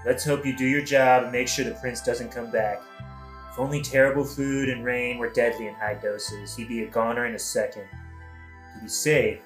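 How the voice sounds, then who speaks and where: A blunt, colloquial king acted by a man, dry and scheming, in a comic audio play. His mid-pitched voice sits in a roomy space over faint music. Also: natural voice. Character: exasperated, scheming male king and stepfather. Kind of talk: modern-language satirical audio play acting.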